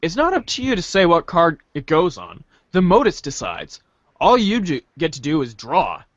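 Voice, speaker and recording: Male narrator, high-pitched and wildly animated, playful dramatic reading, dry muffled recording. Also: normal speed, no room sound. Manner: theatrical, playful. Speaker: podcast narrator reading a webcomic aloud.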